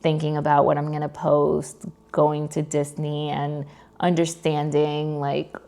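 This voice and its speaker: A woman's voice: sits low, completely flat delivery, a little slow, vocal fry, warm tone. A woman, chatting casually to camera as a vlogger sharing personal updates. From New York. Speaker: vlogger, content creator.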